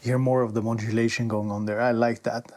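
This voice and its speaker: Loud synthesizer music plays behind a man with a mid-range voice. He speaks casually as a reviewer, presenting and demonstrating as he goes. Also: medium pitch, level tone, a little fast. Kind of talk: casual product demo talk. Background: synthesizer demo sounds.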